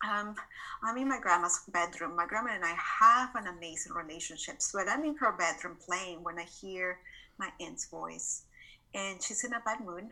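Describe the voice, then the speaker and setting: A woman's voice: fairly low pitch, moderate pace, pushed and bright, poor audio. A woman, a podcast guest telling her story in a reflective, earnest way. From Venezuela. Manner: reflective, earnest, with frequent restarts. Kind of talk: personal storytelling in an interview.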